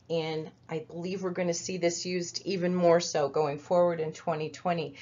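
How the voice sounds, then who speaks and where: A middle-aged woman presents a virtual training in a relaxed, conversational way, often pausing on fillers and restarting her phrases. Her voice sits a little low for a woman. The recording is dull and lo-fi, like webinar audio. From United States. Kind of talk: webinar presentation over slides.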